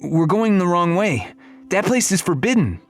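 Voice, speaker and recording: A man's voice: sits high, very animated, normal speed, soft background music, voice pushed up. A man voicing an earnest, uneasy boy in a fantasy audiobook, with an urgent manner. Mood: alarmed. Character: earnest, uneasy boy on a coming-of-age journey. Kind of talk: audiobook character voice.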